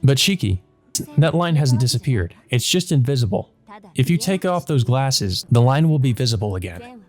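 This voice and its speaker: A man reads the part of a woman wizard who mentors a child in a visual novel. The delivery is calm, confident and reassuring, like a lesson being given. Music runs behind it. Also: medium pitch, normal speed, pitched above the performer's own voice. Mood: gentle reassurance.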